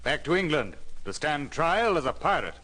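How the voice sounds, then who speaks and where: An actor playing a stern sea captain with a measured, authoritative manner in a storybook drama. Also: male voice, on the high side, sing-song, pushed and bright, heavily acted. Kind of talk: storybook record acting.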